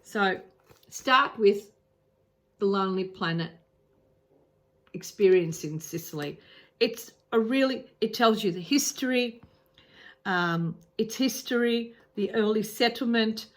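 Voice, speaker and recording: A woman's voice: medium pitch, slow pace, pauses often. A woman with an Australian accent giving casual travel advice to camera, earnest, with frequent ums and restarts. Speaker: travel vlogger.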